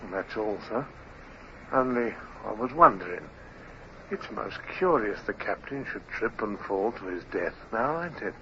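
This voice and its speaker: An insolent navy seaman with a low voice and a sly show of deference. He insinuates pointedly, on a muffled old radio drama recording with light hiss. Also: animated, measured pace, sharp tone, heavily acted. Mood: sly, insinuating. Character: insolent, insinuating male sailor, the captain's toady. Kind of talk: 1950s radio drama acting.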